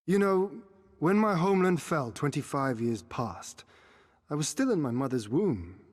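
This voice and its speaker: Casting: stoic young warrior prince for fantasy game cutscene, husky, archaic measured speech, reverberant hall. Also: male voice, a little high, sing-song. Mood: earnest, determined. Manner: formal archaic diction, measured, oratorical. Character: young exiled prince of a conquered eastern land, earnest, stoic. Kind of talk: cinematic video game voice acting.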